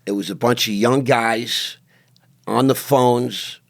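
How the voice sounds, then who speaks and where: A man's voice: medium pitch, fairly even intonation, slow, gravelly. A man hosting a podcast interview from a studio, talking in brash, hype-man banter. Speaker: podcast host. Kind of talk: casual interview.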